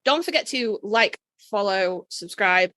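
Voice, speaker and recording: A woman's voice: medium pitch, lively intonation, unhurried, bright, forceful tone. A woman co-hosting a podcast, chatting casually and emphatically with informal, sweary phrasing. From Britain. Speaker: podcast co-host. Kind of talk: casual fan discussion chat.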